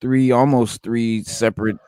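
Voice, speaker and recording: A man's voice: medium pitch, steady tone, a little slow, warm tone, clear, resonant tone, no echo, studio quality. A man in his forties co-hosting a casual hip-hop chat, emphatic and loose, with plenty of profanity and stammered restarts. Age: 40s. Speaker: podcast co-host.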